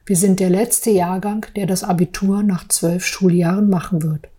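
A woman gives a personal account in a measured, deliberate way. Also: fairly low pitch, fairly even intonation, warm tone. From Berlin, East Germany. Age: 40s. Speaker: artist telling her own story. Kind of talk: personal account for a podcast, partly read aloud.

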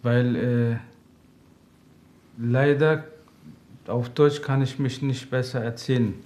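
A man whose native language is Turkish, speaking halting German with many pauses as a father seeking counseling. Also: mid-range voice, steady tone, darkish tone. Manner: hesitant, halting German with frequent fillers. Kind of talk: interview answers.

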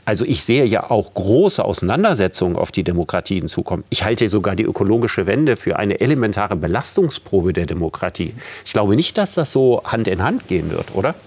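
A man's voice: on the low side, sing-song, fairly quick, hardly any pauses, a little mellow, breathy edge. A man interviewing a guest, asking probing questions in a conversational way and building his points while thinking aloud.

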